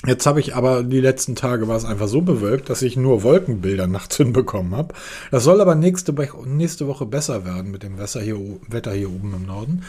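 A man's voice: medium pitch, a little fast, dark tone. A man from Germany, hosting a casual tech podcast while he has a cold, telling long enthusiastic stories full of restarts. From Hamburg, northern Germany. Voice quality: husky. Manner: rambling, enthusiastic storytelling with restarts. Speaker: podcast host.

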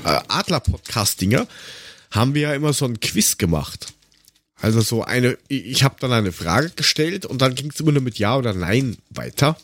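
A man's voice: mid-range voice, lively intonation, moderate pace, fairly bright tone, no echo. A man chatting casually as co-host of a podcast.

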